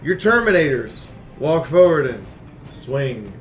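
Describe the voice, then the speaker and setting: A man delivers blunt, opinionated game commentary in a medium-pitched voice. He leans hard on points and repeats phrases for emphasis. The sound is roomy, with music playing behind him. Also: sing-song, slow pace. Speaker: game reviewer and YouTuber.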